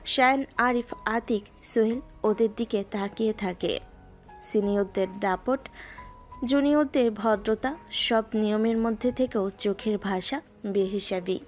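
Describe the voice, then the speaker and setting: A woman narrating a romantic audio story in a measured, lyrical way. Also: mid-range voice, darkish tone.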